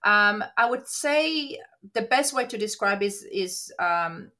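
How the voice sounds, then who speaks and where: A woman, a nurse practitioner answering interview questions eagerly, often pausing on um and uh. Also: medium pitch, animated, bright, forceful tone. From Israel. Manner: eager, fills pauses with um and uh.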